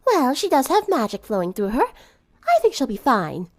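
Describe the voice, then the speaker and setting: Woman acting a proper, firm, high-voiced young lady. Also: huge pitch swings, normal speed, a little mellow, no echo, clean studio sound, full character voice. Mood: reassuring. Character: refined, motherly, firm teenage girl giving orders.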